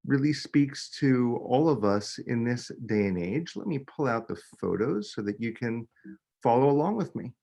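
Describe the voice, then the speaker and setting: A man, a children's book author, reading a picture book aloud with expressive, dramatic emphasis. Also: medium pitch, vocal fry. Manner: expressive, dramatic delivery with emphasis on key words.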